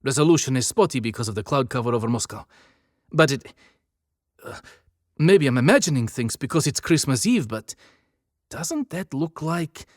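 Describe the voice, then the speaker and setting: A man's voice: mid-range voice, very animated, moderate pace, no room sound, studio quality, voice pushed up. Man playing a nervous Russian military technician.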